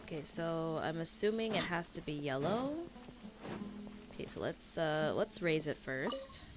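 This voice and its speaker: A woman's voice: sits low, animated, measured pace. A woman giving casual, laid-back gameplay commentary, thinking aloud as she plays. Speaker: streamer reacting to a game. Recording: game music behind voice. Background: game music.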